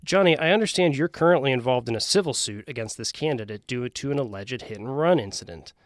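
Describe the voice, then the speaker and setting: A man's voice: mid-range voice, animated, fast talker, no echo, clean studio sound. A man hosting a comedy improv game show podcast with a wry, playful mock-announcer delivery. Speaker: podcast host and game master.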